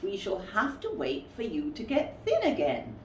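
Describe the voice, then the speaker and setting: A woman voicing a fond, sensible young boy in a storybook, gentle and reassuring. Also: medium pitch, huge pitch swings, normal speed. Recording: roomy, distant mic with faint noise.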